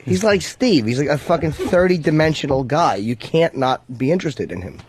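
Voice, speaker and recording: Male radio co-host, slightly high voice, loose profane banter. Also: expressive, a little fast, background noise.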